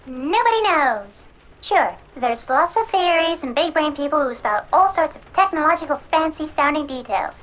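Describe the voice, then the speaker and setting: A woman's voice: high voice, lively intonation, moderate pace, a bit bright, low-quality recording. A woman playing a cocky, sardonic hacker who hosts a pirate radio show in a cyberpunk audio drama, talking theatrically to her audience.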